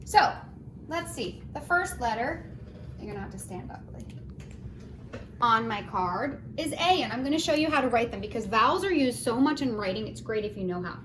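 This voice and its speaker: A woman teaching a children's phonics lesson in a gentle, encouraging, singsong way, at home. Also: a little high, lively intonation, normal speed. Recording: roomy, distant, noisy home recording.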